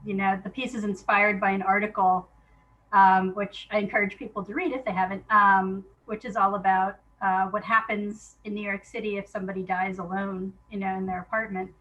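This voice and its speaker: A woman's voice: medium pitch, little pitch movement, a little fast, dull audio. A woman answering questions as an interview guest, chatting informally and thinking aloud. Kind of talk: casual chat.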